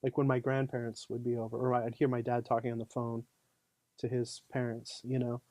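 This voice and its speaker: Man, mid-pitched voice, podcast guest, easygoing and chatty, dry close sound. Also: monotone, a little fast, a little mellow, dry recording. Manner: easygoing, chatty, lots of fillers like "you know". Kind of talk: casual conversation.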